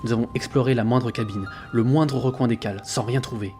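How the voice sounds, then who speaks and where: A man playing a haunted, uneasy sailor telling his journal in a tense, measured way for an audio drama. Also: mid-range voice, steady tone, mellow, natural voice. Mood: grave, uneasy. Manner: tense, suspenseful, measured storytelling. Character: haunted young male sailor keeping a journal at sea, uneasy, rational. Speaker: narrator and lead actor of an audio drama. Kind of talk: dramatic first-person narration, audio fiction. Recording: prominent music behind the voice. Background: dramatic music.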